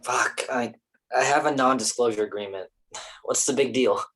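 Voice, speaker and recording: Husky low voice, woman as ambitious male film actor, defensive, profane, casual, staged drama reading. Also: normal speed, fairly bright tone, full character voice. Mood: defensive, angry.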